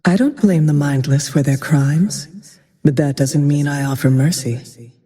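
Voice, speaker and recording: A cold, haunted huntress from a fantasy video game, speaks in a dark, mellow, breathy tone. Her delivery is slow and deliberate, deadpan with quiet menace. A long reverb trails her vengeful lines. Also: deep voice. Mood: cold, dismissive.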